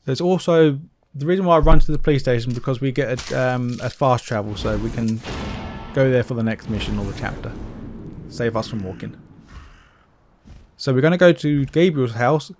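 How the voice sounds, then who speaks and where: A man's voice: medium pitch, moderate pace, darkish tone, slight background noise. A man giving casual gameplay commentary, explaining things step by step in an informal way. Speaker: game walkthrough commentator.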